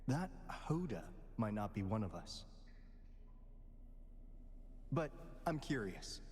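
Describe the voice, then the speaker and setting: Game role: flamboyant, knowing male guardian, very animated line readings, long reverb. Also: on the low side, a little hiss or hum. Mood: pensive, curious. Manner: theatrical, dramatic pauses and flourishes. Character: theatrical, playful male guardian of a secret island order, knowing and flamboyant. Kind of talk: video game voice acting.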